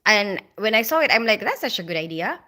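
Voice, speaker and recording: Woman, Filipino accent, bright clear voice, casual livestream chatter, lots of fillers, reading comments aloud. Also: mid-range voice, sing-song, fairly quick. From Philippines. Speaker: livestream host.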